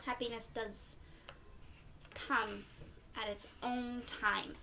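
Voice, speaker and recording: A woman reading a picture book aloud to young children in an encouraging, expressive way, often putting questions to her listeners. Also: a little high, takes it slowly, a little hiss or hum. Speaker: children's read-aloud storyteller.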